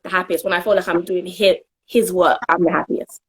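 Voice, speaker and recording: A woman's voice: fairly low pitch, steady tone, a little fast, slight background noise. A young woman from Jamaica, a guest in a casual interview, answering earnestly with frequent laughs and restarts. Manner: earnest and giggly, trails off and restarts.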